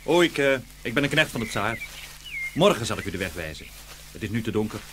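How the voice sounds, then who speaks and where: A man's voice: on the low side, sing-song, fast talker, noisy background. A man playing a shrewd, plain-spoken runaway soldier in a dramatised fairy tale, with a blunt, folksy manner. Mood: friendly.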